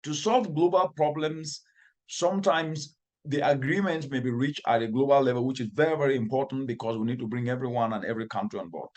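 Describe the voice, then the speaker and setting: A man with a Cameroonian accent speaks as an interviewed expert at a middling pitch. He tells things earnestly, leaning on repeated phrases to build emphasis. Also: brisk. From northwestern Cameroon. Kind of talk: earnest interview answers, storytelling.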